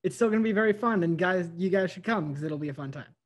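A woman's voice: lowish voice, fast. A young woman chatting casually on a group video call. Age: young adult. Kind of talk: casual chat.

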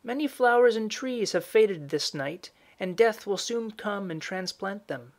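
Man voicing a high-pitched knowing old woman. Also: lively intonation. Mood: solemn, knowing. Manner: measured, instructing, storybook delivery.

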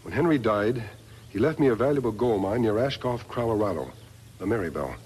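An actor playing an earnest, steadfast hero in a 1950s radio western, speaking in a measured, formal way. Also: male voice, fairly low pitch, animated, darkish tone, husky. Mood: solemn reading. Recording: noisy vintage 1950s radio recording.

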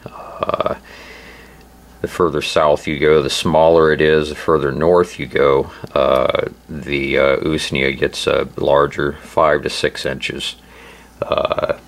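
A man with a hoarse, laryngitis-affected voice giving an informal instructional narration, halting and pausing often as he explains. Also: extremely low pitch, level tone. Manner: halting, hesitant delivery with frequent pauses. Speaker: host of a survival channel.

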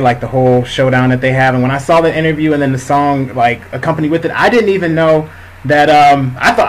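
A man hosting a casual interview who rambles excitedly with many fillers. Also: fairly high pitch, poor audio. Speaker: interview host.

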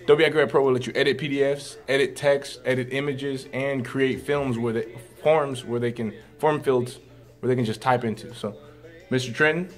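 A man's voice: mid-range voice, fairly even intonation, moderate pace, faint music behind. A middle-aged man, a teacher, walking fellow staff through a computer program in a classroom, talking upbeat and folksy as he narrates each click. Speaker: teacher leading a staff tech demo. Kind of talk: casual classroom screen demo.

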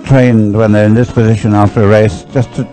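Casting note: male live race commentator, low mellow voice, rambles and hesitates as he goes. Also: level tone, a little fast, faint music behind, rough recording. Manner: rambling, hesitant, thinking aloud. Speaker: sports commentator.

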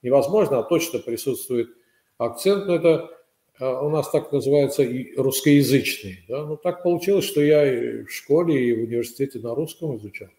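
An older man from Azerbaijan, a former foreign minister, telling stories in a measured, hesitant way as a guest on a video call from home. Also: mid-range voice, dark tone. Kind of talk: casual interview over video call. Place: room at home, indoors. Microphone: webcam on video call. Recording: echoey room over webcam.

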